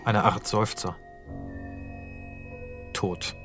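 A man narrating a radio drama, reading scripted scene directions in a measured, sober manner. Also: lowish voice, steady tone, fairly bright tone, faint music behind.

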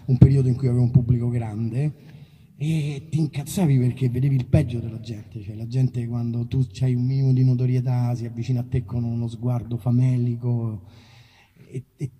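A man's voice: medium pitch, level tone, moderate pace, mellow, slightly rough. A man chatting casually between songs at a live concert, rambling and self-deprecating, with colloquial language and swearing. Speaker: musician performing live. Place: live outdoor festival concert.

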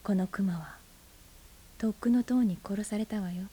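A woman as a husky, breathy, guarded aunt. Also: mid-range voice, moderate pace, dark tone, close to an everyday voice. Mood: subdued, bitter. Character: blunt, moody aunt, guarded adult woman with buried grief. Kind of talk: naturalistic Japanese radio drama acting. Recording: 1990s FM radio drama on cassette.

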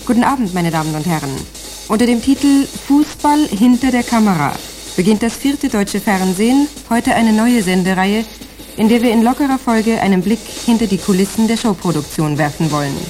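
An actress as a polite female TV continuity announcer, medium in pitch. She speaks formally and presentationally in a radio play, with faint music behind her. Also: animated, brisk, darkish tone, lightly acted. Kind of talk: TV programme announcement in radio drama.

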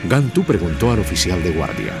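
A man's voice: deep voice, very animated, brisk, creaky. A man narrating a scripted children's story. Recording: music behind.

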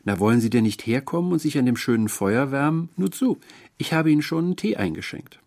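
A man voicing a sweet, doting middle-aged landlady in an audiobook story, cooing and over-familiar with a quietly eerie edge. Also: mid-range voice, lively intonation, fast, creaky, voice pushed up. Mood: cosy, coaxing.

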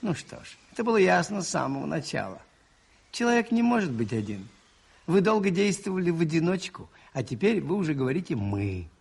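Husky priest sleuth in an old soviet radio play, teasing and ironic, voice swings up and down. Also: male voice, a little high, fairly quick, exaggerated voice. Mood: musing, teasing. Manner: musing, ironic, expressive and conversational.

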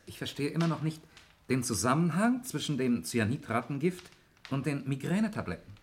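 A man's voice: mid-range voice, very animated, a little fast, slight background noise, lightly acted. A man playing a stubbornly unconvinced nephew in a 1960s radio crime drama, objecting insistently. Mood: puzzled, doubting. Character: doubting nephew named Erik, stubbornly unconvinced.

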